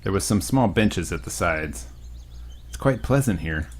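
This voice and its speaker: A man's voice: low voice, lively intonation, measured pace, a little hiss or hum. A young man hosting a let's play, reading a visual novel aloud with crude joking asides.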